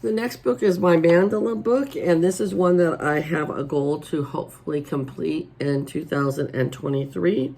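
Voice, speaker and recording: Raspy-voiced woman chatting at home. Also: low-pitched, moderate pace, hardly any pauses, dark tone. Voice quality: raspy today. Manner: chatty and homey, many ums. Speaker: coloring channel host. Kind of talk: casual show-and-tell chat. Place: at home, table indoors. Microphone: overhead camera over the table.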